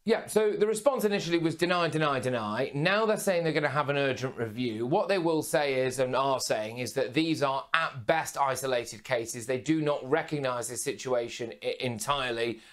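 A young man giving brisk, emphatic news commentary as a guest presenter from a remote studio. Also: mid-range voice, a bit bright. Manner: brisk, emphatic, punchy phrasing. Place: remote studio with city view.

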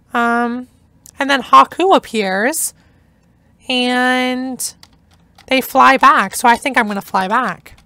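Young woman, hoarse, chatty let's-play host; high, bright voice, dry close sound. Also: dry recording. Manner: chatty, enthusiastic, rambling. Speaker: gameplay narrator. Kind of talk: casual let's-play commentary.

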